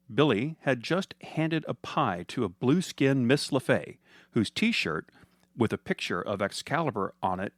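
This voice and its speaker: Animated man narrating a comic story. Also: medium pitch, no echo, studio quality. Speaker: storyteller, podcast host.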